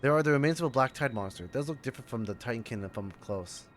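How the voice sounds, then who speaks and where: Middle-pitched man streaming a game casually. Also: lively intonation, quick pace. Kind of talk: casual livestream reaction, reading game text aloud. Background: game music.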